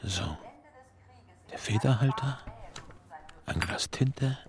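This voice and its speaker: An actor playing a weary, bitter party member in a radio drama, muttering halting, sardonic confessions to himself with a gravelly voice. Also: male voice, medium pitch, expressive, background noise. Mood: secretive. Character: weary, bitter male party member confiding forbidden thoughts. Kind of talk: intimate German radio drama monologue.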